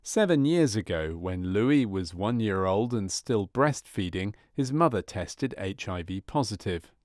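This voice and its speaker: A man reading scripted narration for a medical training film in a measured, formal manner. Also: fairly low pitch, expressive, darkish tone, no room sound, clean studio sound.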